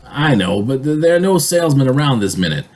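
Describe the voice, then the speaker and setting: A man playing an evasive, flustered boss in an old-time radio drama, hesitant and stammering, with a husky voice. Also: mid-range voice, sing-song, fairly quick, darkish tone, natural voice. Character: evasive, flustered male boss dodging work.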